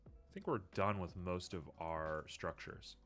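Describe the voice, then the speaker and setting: Male streamer, lower register, a bit sniffly, relaxed think-aloud commentary full of ums. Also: a little slow, faint music behind. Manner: thinks aloud, frequent ums, muttering at the software. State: a little sniffly. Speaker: streamer building a 3D model. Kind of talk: casual live-stream commentary while working.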